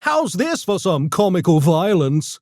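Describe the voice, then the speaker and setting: Pompous genteel gremlin for a game: unhurried, theatrical, extremely swooping intonation, mischievous politeness. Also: male voice, high voice, big pitch swings, darkish tone, no room sound, clean studio sound. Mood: playful, mischievous. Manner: posh, pompous, theatrical, mock-polite diction. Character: urbane genteel mischievous gremlin, a genetically engineered intellectual creature. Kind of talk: video game character voice lines.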